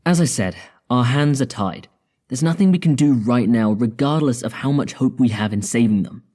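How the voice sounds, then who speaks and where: A confident male starship scientist, played by an actor in a sci-fi audio drama. His voice is dark and relaxed, mid-pitched, and he lays out ideas in careful, deliberate steps. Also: natural voice. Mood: calm, resolute. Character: measured, confident male spaceship scientist proposing drastic plans.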